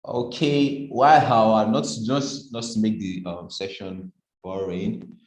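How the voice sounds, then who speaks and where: A man moderating an online training session over a video call. He fills pauses with lots of hesitant ums. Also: lowish voice, fairly even intonation, reverberant.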